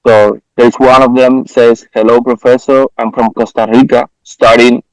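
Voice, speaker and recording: A man's voice: mid-range voice, little pitch movement, normal speed, dry recording, low-quality recording. A man moderating an online academic conference, relaying questions from the audience to the speaker.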